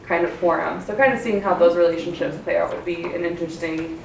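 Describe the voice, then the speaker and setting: A woman's voice: fairly low pitch, fairly even intonation, fast, vocal fry. Distant, echoey woman commenting. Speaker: audience member, youth care worker. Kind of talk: informal audience comment. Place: audience in lecture room. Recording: distant echoey lecture room, faint noise.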